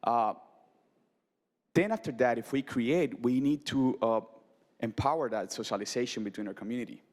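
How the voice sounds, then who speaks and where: A man from Colombia giving a design presentation to an audience, pausing often with fillers and restarts. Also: mid-range voice, darkish tone. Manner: halting, many fillers and restarts. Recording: reverberant hall.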